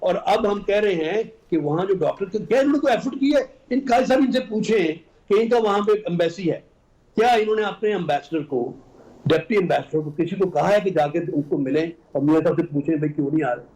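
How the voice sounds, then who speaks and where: A man's voice: sits high, brisk, a little mellow, poor audio. A man with a Pakistani accent arguing insistently as a political guest, pressing on through interruptions. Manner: insistent, argumentative, talks over interruptions. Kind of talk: political debate.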